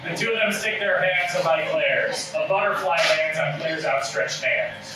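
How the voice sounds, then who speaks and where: A man narrates stage directions at a comedy table read. His voice is high for a man and comes from some distance in a very echoey room, with clear noise around it. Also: unhurried.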